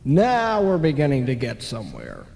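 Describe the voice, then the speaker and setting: Brash, swooping ghost lawyer. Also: male voice, medium pitch, wildly swooping pitch, vocal fry, a little mellow. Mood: confident, cajoling. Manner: theatrical, brisk, self-assured. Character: brash, wily male ghost lawyer, newly dead, cocksure. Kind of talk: old-time radio play acting. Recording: long hall reverb.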